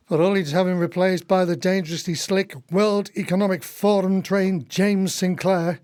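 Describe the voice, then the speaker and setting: A man's voice: sits high, darkish tone, close to an everyday voice. An actor playing a wry, devoted male co-conspirator in a radio play, with a theatrical delivery. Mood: wry, indignant.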